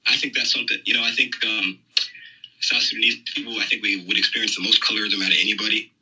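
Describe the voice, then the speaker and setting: Young male caller, bright rough voice, fast and full of fillers. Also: on the low side, flat delivery, low-quality recording. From United States. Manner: hesitant, many "you know" and "um" fillers. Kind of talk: phone-in conversation.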